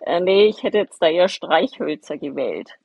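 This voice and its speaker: A woman's voice: fairly low pitch, lively intonation, normal speed, vocal fry, darkish tone, breathy edge, lo-fi sound. A middle-aged woman chatting on a podcast panel from an indoor holiday room, friendly and hesitant. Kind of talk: casual remote chat.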